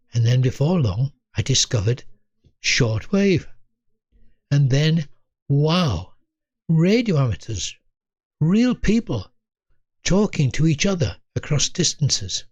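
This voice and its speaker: An elderly Englishman in his eighties reminisces at a very unhurried pace. He speaks in short, measured phrases with pauses between them, in a mid-pitched voice. The sound is dry and close, free of room echo. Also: a little mellow, lo-fi sound. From North East England. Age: 80s. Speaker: storyteller, host of own video series. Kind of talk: reflective autobiographical storytelling to camera.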